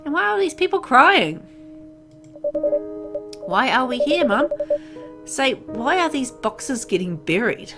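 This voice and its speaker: An innocent small child, played by a woman, plaintive and puzzled, in a visual novel. The voice is pitched up high, with loud music behind it. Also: big pitch swings, takes it slowly, long pauses, exaggerated voice. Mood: confused, pleading. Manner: plaintive, questioning. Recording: music under the voice.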